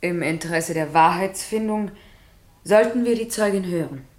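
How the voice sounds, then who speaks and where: A woman's voice: fairly low pitch, moderate pace, close to an everyday voice. A woman acting a cool state prosecutor who questions witnesses formally and exactly in a radio drama. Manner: formal, probing, precise. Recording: roomy, echoing radio drama studio.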